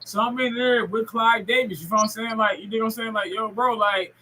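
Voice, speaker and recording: Male podcast host, forties. Forceful high voice, loose profane street-slang storytelling, faint noise behind. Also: steady tone, brisk, rough recording. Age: 40s. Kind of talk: casual interview chat.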